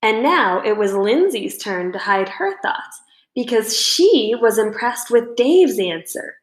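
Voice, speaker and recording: A woman reading a children's book aloud as a storyteller, in expressive scripted narration. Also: a little high, slow pace. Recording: roomy indoor echo.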